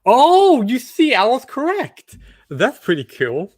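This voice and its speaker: A man's voice: very high-pitched, wildly swooping pitch, slow. A man hosting casual video chats with strangers, asking curious questions and often stumbling and restarting his sentences. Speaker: online video chat host.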